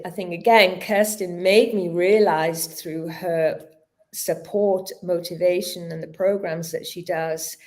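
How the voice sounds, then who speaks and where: A woman's voice: lowish voice, darkish tone. A woman in her fifties, a yoga teacher speaking as a guest in a casual interview, earnest and reflective, her speech a little clumsy from a dental injection. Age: 50s. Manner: slightly stumbling, searching for words. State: mouth numb from dental injection.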